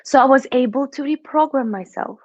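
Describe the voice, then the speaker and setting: A woman's voice: high-pitched, brisk, dry recording, lo-fi sound. A woman with a Russian accent, a money mindset coach giving a motivational talk, putting questions to the listener and checking in with "right?" and "okay?".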